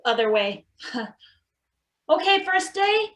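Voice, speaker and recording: A woman playing a mild, weary maintenance worker in a stage play reading, halting and understated. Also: extremely high pitch, big pitch swings, fairly bright tone, full character voice. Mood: awkward, dry. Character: hesitant, mild-mannered, weary male maintenance worker named Izzy. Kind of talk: live table read of a satirical stage play.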